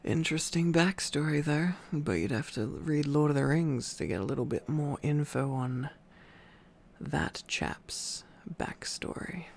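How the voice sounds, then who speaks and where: A man's voice: a little high, expressive, measured pace, gravelly, slight background noise. A sleepy man doing an intimate ASMR boyfriend-style reading, tired and affectionate, with playful asides to his listener. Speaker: ASMR boyfriend roleplay storyteller.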